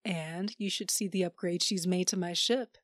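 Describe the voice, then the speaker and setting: A fairly low female voice, recorded very dry, an actress playing an irreverent rogue in an audio drama. She is loyal and frank, with a casual, wry way of talking. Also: steady tone, normal speed, dry recording, close to an everyday voice. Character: irreverent, loyal rule-breaking sister, wry sci-fi rogue.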